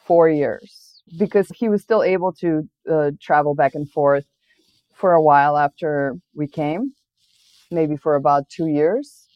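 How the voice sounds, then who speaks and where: A woman's voice: low voice, no echo, muffled recording. A woman from Bulgaria whose first language is Bulgarian, speaking as a translator in an interview in a reflective, thoughtful way with frequent restarts. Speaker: interview guest, literary translator. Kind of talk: conversational interview.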